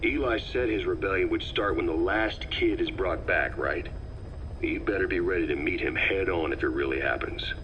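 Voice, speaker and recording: A man's voice: deep voice, normal speed, fairly bright tone, muffled recording. A man playing a cold, insistent military interrogator in a video game, speaking in a blunt, accusatory way. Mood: stern pressure. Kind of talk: scripted video game dialogue over radio. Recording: band-limited radio tape, clear background noise.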